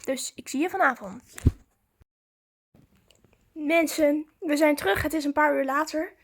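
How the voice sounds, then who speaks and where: High voiced girl vlogger, casual chatty, explains what shes doing as she goes. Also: unhurried. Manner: informal, chatty, narrates own actions as they happen. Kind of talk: casual vlog chat to viewers.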